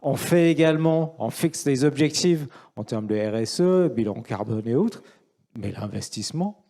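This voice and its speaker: A man's voice: mid-range voice, lively intonation, normal speed, mellow. A middle-aged man from England with an English accent, a native English speaker, explaining his view as a panel speaker. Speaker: panel speaker, finance professional.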